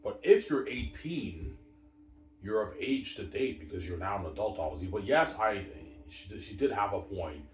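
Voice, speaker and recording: Male, 30s, very low pitch, rambling monologue vlogger, distant mic in an echoey room. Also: animated, moderate pace, faint music behind. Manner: repetitive, fills with "obviously" and "you know what I'm saying". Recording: roomy and distant with faint background hiss.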